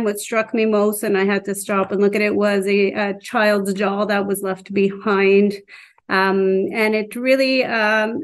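A middle-aged woman shares her thoughts in a discussion. Her mid-pitched voice stays very even, and she speaks in a measured, halting, reflective manner.

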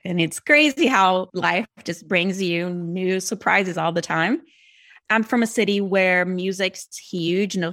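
This is a middle-aged woman from Brazil who speaks English with a clear Brazilian Portuguese accent, Portuguese being her first language. Her voice sits on the low side. She is chatty and animated, telling long stories in a relaxed podcast interview. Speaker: guest, speech-language pathologist and app developer.

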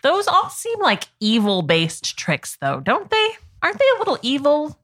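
Woman w a bright lively voice, low-ish pitch, wry skeptical jokes in casual chat. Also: normal speed. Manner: wry, skeptical joking remarks. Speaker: podcast co-host.

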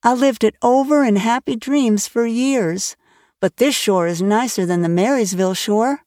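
A woman's voice: a little high, normal speed, a little mellow, no room sound, studio quality, lightly acted. A woman voicing an eager, chatty orphan girl who gushes in a breathless, wide-eyed way. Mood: rapturous.

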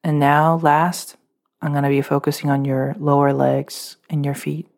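Low-voiced female meditation guide, calm and unscripted, easy repeated cues. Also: moderate pace, vocal fry. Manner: calm, unscripted guidance with fillers.

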